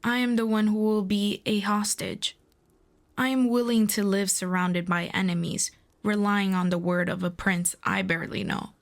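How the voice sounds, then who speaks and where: A woman playing a proud, earnest demon princess in a fantasy audio roleplay, speaking in a formal, deliberate, persuasive way. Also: mid-range voice, flat delivery, lightly acted. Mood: firm, indignant.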